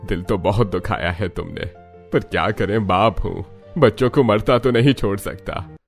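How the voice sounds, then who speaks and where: A man voicing an older village father in a cartoon, gruff but kind, with a low steady voice. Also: normal speed, faint music behind. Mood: stern.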